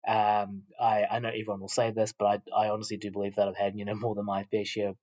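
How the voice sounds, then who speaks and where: A young New man with a fairly low voice, talking casually to camera. He rambles with plenty of fillers and restarts. The recording sounds dull and lo-fi. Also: completely flat delivery, quick pace, darkish tone. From New Zealand. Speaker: YouTuber.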